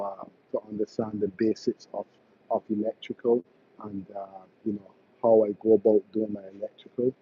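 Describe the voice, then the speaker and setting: A man from Barbados runs a casual live stream, chatting hesitantly with viewers and pausing on many ums and uhs. His voice is low and flat, with little rise and fall, and the lo-fi stream audio sounds dull. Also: husky. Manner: hesitant, many fillers and restarts. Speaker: electrician hosting a live stream.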